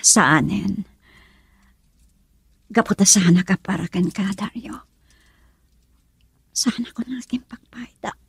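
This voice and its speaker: A woman playing a seriously ill young woman in an Ilocano radio love drama, tender and resigned, speaking softly and haltingly with sorrow. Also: mid-range voice, gravelly, breathy edge, dry recording, clean studio sound, exaggerated voice. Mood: sad, confessing. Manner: soft, halting, emotional delivery, often near whisper.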